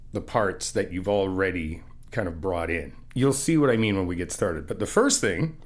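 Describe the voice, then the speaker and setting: Easygoing, lower-voiced guy friend, acted by a man. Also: close to an everyday voice. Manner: informal, patient, step-by-step explaining to a listener. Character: easygoing, encouraging male friend helping paint an apartment. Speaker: ASMR roleplay voice actor. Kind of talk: casual conversational ASMR roleplay.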